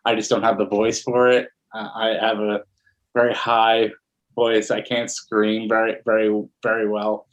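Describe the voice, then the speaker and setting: A male musician guest in a casual interview, talking in a low-key, matter-of-fact way. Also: little pitch movement, unhurried, lo-fi sound.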